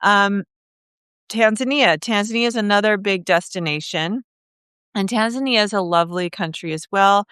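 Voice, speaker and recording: A woman's voice: mid-range voice, normal speed, a bit bright, clean tone, no room sound, studio quality. A woman hosting a live video, talking casually with many fillers and restarts. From Kenya. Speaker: host of a live video, trip leader. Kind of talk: casual live-stream talk.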